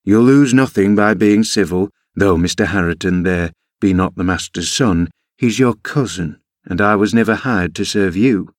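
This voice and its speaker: A man's voice: sits low, normal speed, hardly any pauses, no room sound, clean studio sound, close to an everyday voice. A man voicing a tart servant woman in a storybook, firm and reproving. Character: tart, outspoken servant woman standing her ground.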